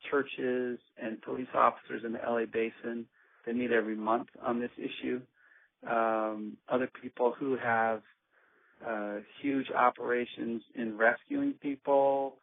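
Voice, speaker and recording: A man speaking earnestly on a conference call, measured and pausing often with ums as he lays out plans for his organization. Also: medium pitch, vocal fry. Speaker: organization leader. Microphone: phone. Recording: tinny phone line.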